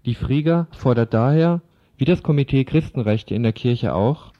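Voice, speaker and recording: A man's voice: medium pitch, lively intonation, moderate pace. A man reading a scripted political radio commentary as a reporter. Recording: dull lo-fi radio recording.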